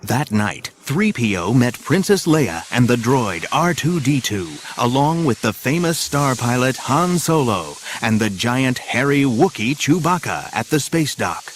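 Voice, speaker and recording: A man's voice: medium pitch, very animated, unhurried, hardly any pauses, slight background noise. A man narrating an adventure audio drama in a scripted, dramatic storytelling style. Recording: music behind the narration.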